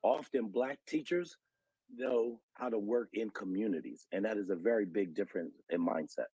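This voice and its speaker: A man's voice: lowish voice, normal speed, warm tone, slightly rough, no echo, low-quality recording. An American man giving earnest, articulate explanations as an interview guest, citing research and figures like an advocate.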